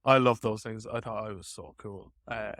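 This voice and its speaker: A man hosting a casual tech podcast chat, with hesitant, halting phrasing. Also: fairly low pitch, fairly bright tone, no room sound, clean studio sound. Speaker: podcast host.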